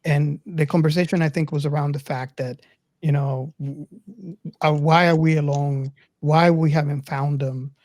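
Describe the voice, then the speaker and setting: A man's voice: on the high side, steady tone, normal speed, a little mellow, no room sound. A man in a casual film discussion, earnest and insistent, repeating his phrases to make a point. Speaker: podcast co-host.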